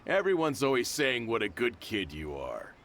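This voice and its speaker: A man's voice: mid-range voice, wildly swooping pitch, normal speed, fairly bright tone, a little hiss or hum. A man voicing a generous, chuckling middle-aged shopkeeper and father in a game dub. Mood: kindly. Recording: game audio with music behind.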